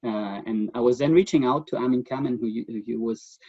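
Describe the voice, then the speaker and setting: A middle-aged man with a German accent speaks as the expert guest in a remote interview. He talks in a thoughtful, halting way, pausing on fillers and restarting his sentences. The audio is dull and close, with almost no room sound. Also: mid-range voice, warm tone, no echo.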